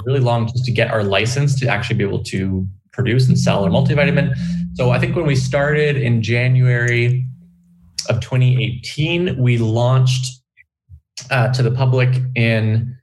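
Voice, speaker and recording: Man, podcast guest, friendly, rambling, mid-pitched voice, lots of fillers. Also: slight background noise, low-quality recording. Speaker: vitamin company founder, guest. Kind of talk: casual podcast interview.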